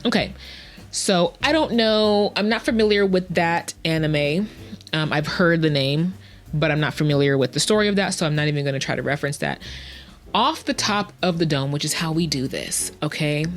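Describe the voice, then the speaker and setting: A woman's voice: low-pitched, normal speed. A woman hosting a casual solo podcast, chatting in a playful, slangy way, often interrupting herself and repeating words for emphasis. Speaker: podcast host, writing coach. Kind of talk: casual, chatty solo podcast answering listener-style questions.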